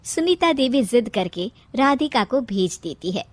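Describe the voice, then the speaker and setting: High-pitched, animated woman storyteller. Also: measured pace, no room sound. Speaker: narrator. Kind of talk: scripted storytelling for a cartoon.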